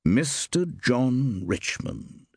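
A polite, easygoing gentleman traveller, played by a male narrator. His intonation moves about a good deal, with a slightly husky tone. The manner is measured and mildly amused, suited to a storybook mystery. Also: on the low side, huge pitch swings, no echo, studio quality, full character voice. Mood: helpful, curious.

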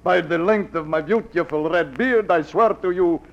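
A man's voice: sits high, moderate pace. An actor playing a man who fakes a Russian accent and broken English as a blustering salesman, in a 1940s radio play, on a lo-fi old recording. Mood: boastful. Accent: put-on Russian. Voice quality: broken English, laughing, theatrical.

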